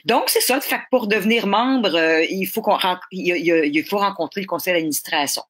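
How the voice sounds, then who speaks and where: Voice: mid-range voice, fairly quick, fairly bright tone. A panel guest with a Québécois French accent, talking casually and stammering over repeated words.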